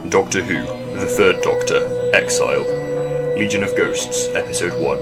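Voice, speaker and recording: A man reading audio drama titles and credits in a measured, formal way. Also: low voice, close to an everyday voice. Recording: loud music over the voice. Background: loud music.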